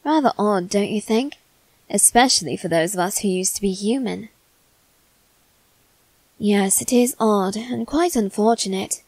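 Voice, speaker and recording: A woman voicing a polite, coaxing young male demon in an ASMR roleplay aimed at the listener, speaking softly and courteously. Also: mid-range voice, no echo. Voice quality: soft, measured and courteous.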